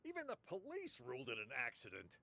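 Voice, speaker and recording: A man's voice: mid-range voice, wildly swooping pitch, fast talker, creaky, pushed and bright, exaggerated voice. A man playing a testy, pompous theatre manager in a radio drama, emphatic and dismissive. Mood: dismissive, indignant. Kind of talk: interactive radio drama acting.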